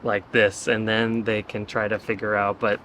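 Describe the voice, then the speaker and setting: Friendly young guy answering questions, lowish voice, lots of "um", bit of hiss. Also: flat delivery, moderate pace, pushed and bright. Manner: earnest, agreeable, chatty with hesitant fillers. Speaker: interview guest living abroad. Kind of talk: casual conversational interview.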